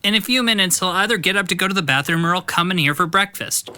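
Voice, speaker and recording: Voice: medium pitch, fast talker, pushed and bright, smooth. An actor playing a sullen, sarcastic college-age son, snide and dismissive, in an audio drama.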